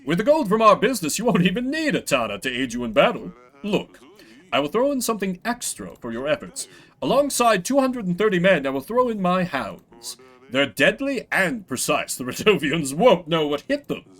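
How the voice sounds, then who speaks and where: A man voicing a scheming noble lord in a video game, with a smooth-talking, persuasive sales manner. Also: high voice, sing-song, moderate pace, fairly bright tone, heavily acted. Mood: eager, boastful. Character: scheming noble lord pitching a shady drug trade.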